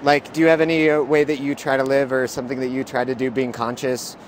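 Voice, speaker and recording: A man's voice: medium pitch, flat delivery, quick pace, slight background noise. A man co-hosting a street news show, giving scripted narration with dry sarcasm and asking interview questions. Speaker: co-host and narrator.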